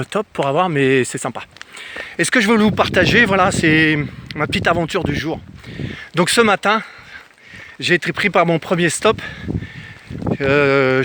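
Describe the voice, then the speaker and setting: A man from France telling a casual personal travel story to camera in an animated way, acting out bits of dialogue as he goes. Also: fairly high pitch, noisy background. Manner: animated, acts out reported dialogue. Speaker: travel vlogger. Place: outdoors in the countryside.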